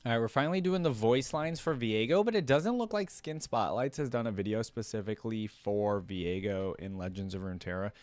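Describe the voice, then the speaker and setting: A man doing casual reaction commentary, reading aloud and thinking out loud in a conversational, curious way. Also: on the low side, expressive, brisk, few pauses, dry recording. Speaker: reaction video host.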